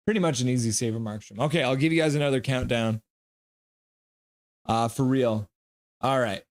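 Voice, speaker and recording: A man hosting a live hockey stream, reading chat comments aloud with loose jokes and breaking into quick play-by-play. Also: medium pitch, a little mellow.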